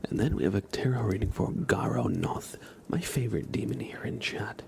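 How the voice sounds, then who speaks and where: A man doing ASMR on a live stream in a breathy, hushed whisper, speaking gently and softly. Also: on the low side, very animated, moderate pace. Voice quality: breathy whisper with soft-spoken stretches. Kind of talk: ASMR, whispered relaxation and guided imagery.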